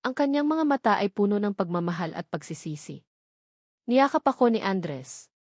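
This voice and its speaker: A woman narrates a dramatic tale with earnest feeling. Her voice sits at a middle pitch, and the recording is close and dry, with no room around it. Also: big pitch swings, normal speed, a little mellow, no room sound. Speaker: story narrator. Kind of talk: dramatic scripted audio story narration.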